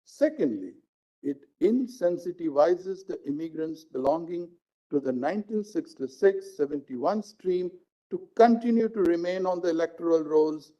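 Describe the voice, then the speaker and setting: A man with an Indian accent, a judge reading out a legal judgment in formal, deliberate phrasing. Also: on the high side, lively intonation, dark tone. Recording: dull lo-fi live stream audio.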